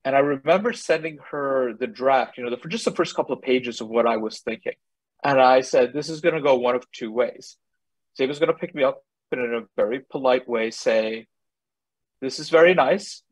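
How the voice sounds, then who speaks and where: A man's voice: medium pitch, normal speed, slightly rough, dry recording, rough recording. A middle-aged man, a guest on a video call, telling a wry anecdote. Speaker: guest, scholar.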